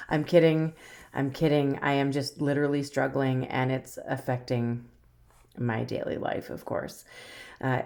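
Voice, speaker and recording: Very low-pitched, slow-talking woman, soft and soothing. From Canada. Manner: chatty and self-deprecating, many ums. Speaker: rock painting channel host. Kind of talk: casual chat while painting.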